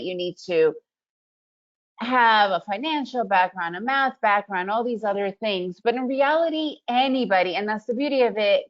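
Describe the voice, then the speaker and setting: A woman's voice: mid-range voice, dull audio. Upbeat woman giving a webinar talk. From Soviet Union, raised in the US. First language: Russian. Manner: upbeat, chatty, leans on "right?" tag questions. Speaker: trading webinar presenter. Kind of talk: webinar presentation.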